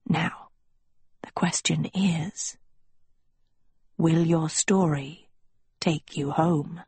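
A woman voicing an old, mysterious male schoolmaster in a breathy whisper, slow, smug and silkily condescending in a storybook reading. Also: deep voice, steady tone, a little mellow, dry recording, full character voice. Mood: quietly smug.

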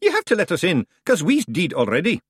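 A cheeky little Scottish fairy warrior, high and bouncy, rattling off broad dialect. His voice lifts and swoops all over, heard close and dry. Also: wildly swooping pitch, brisk, breathy edge, no echo, heavily acted. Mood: cheerful. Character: tiny rowdy Scots fairy warrior man. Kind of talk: audiobook character voice.

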